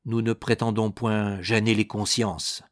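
A male reader plays an old soldier in a storybook. He speaks formally and earnestly, with honourable authority and a measured pace, in a dry recording. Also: fairly low pitch, level tone, dry recording, lightly acted.

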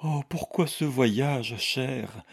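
Man as a frail young invalid woman, storybook character, plaintive, husky, weary, pleading. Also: sits low, steady tone, normal speed, darkish tone.

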